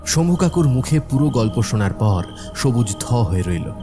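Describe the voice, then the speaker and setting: Guy narrating a horror cartoon, medium pitch, dramatic storytelling, music going behind. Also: very animated, moderate pace.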